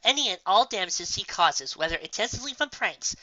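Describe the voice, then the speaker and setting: A woman's voice: mid-range voice, expressive, a little fast, sharp tone, dry recording, dull audio, pitched above the performer's own voice. A woman voicing a smug, dismissive unicorn bureaucrat in a storybook, lecturing in a pompous, officious way.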